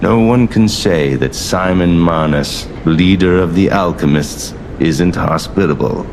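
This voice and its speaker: An actor playing a grandiose, condescending alchemist leader in a video game, speaking with measured, mock-courteous pomp. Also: male voice, low-pitched, background noise. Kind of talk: theatrical video game villain monologue.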